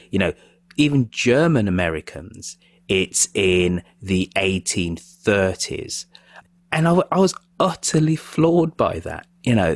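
A man hosting a political podcast, speaking in a measured, thoughtful way. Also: low voice, no room sound. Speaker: podcast host.

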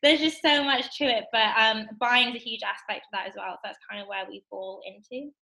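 Bright, chatty young woman. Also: on the high side, gravelly, low-quality recording. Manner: bright, quick, lots of fillers like "kind of" and "like". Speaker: guest, assistant buyer. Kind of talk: casual interview.

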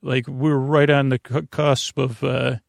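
A man hosting a sleep podcast in a creaky voice, meandering and halting through whimsical, self-deprecating tangents as he eases listeners toward bed. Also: medium pitch, little pitch movement, no room sound, studio quality. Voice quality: creaky, gravelly. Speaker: podcast host. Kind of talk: rambling bedtime sleep podcast monologue.

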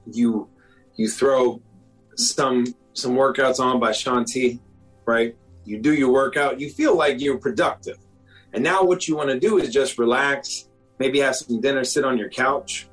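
A man in his forties, a doctor, talking casually in an interview, often checking in with "okay?" and "you know?" and clearing his throat now and then. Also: mid-range voice, poor audio. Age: 40s. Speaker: doctor, guest.

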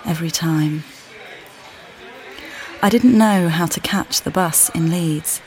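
An actress playing a weary, displaced mother who calmly guides the listener in a walking play, speaking plainly and directly to them. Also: lowish voice, slow pace, slight background noise. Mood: reflective. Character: displaced mother, weary and uncertain, far from home. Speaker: audio guide narrator. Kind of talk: immersive walking-play narration.

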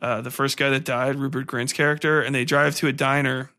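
A man's voice: medium pitch, level tone, a little fast, pushed and bright. A man hosting a podcast in a casual, rambling film-review rant, profane, exasperated and mocking.

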